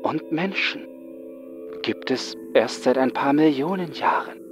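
A man's voice: medium pitch, animated, measured pace, over music, pitched above the performer's own voice, lightly acted. Man acting a haunted, frightened young psychiatric patient. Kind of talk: horror audiobook acting.

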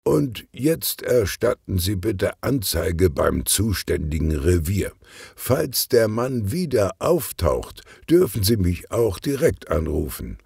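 A man voicing a calm, good-natured, portly police detective who talks in an unhurried, murmuring way for a children's audiobook. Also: low voice, creaky, slightly rough, no echo, studio quality, voice pushed up, heavily acted. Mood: thoughtful, friendly.

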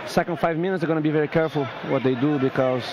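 A man commentating a grappling match as guest, explaining moves calmly with frequent fillers. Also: on the high side, racing, muffled recording. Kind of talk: live fight commentary. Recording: noisy arena with crowd.

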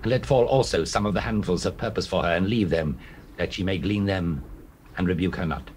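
An actor playing Boaz, a kindly, dignified older landowner, in measured, solemn classical delivery of dramatized scripture. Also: male voice, deep voice, a little mellow, natural voice. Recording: noisy old cassette tape.

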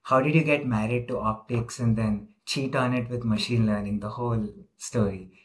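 A man's voice: medium pitch, steady tone, moderate pace. A man hosting an informal interview over a video call, talking casually with frequent fillers. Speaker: interviewer, host.

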